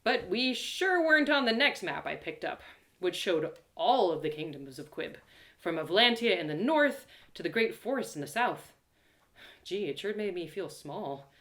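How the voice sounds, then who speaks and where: A woman voicing an excitable, plucky young girl adventurer who narrates her quest with dramatic, colloquial asides. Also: fairly low pitch, moderate pace, roomy sound. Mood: musing, deflated. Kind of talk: first-person dramatic story narration.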